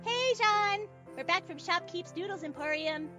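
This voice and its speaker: A woman's voice: very high-pitched, normal speed, music in the background. A woman playing a bubbly, food-loving young woman in an audio drama, exuberant and emphatic. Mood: excited, sassy. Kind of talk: fan audio drama acting.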